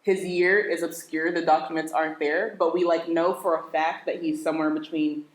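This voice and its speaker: Young woman co-host, low-pitched, inquisitive and joking, casual talk in an echoey room. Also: level tone, normal speed. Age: 20s. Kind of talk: casual chat.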